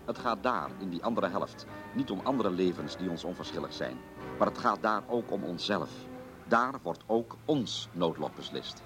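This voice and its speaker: Music plays clearly behind a man with a fairly low voice. He reads a formal scripted introduction as a radio narrator, solemn and measured. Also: expressive.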